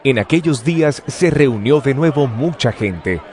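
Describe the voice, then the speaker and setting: A man's voice: mid-range voice, animated, measured pace, a little mellow, some chatter behind. A man narrating scripture from a script for an audio drama.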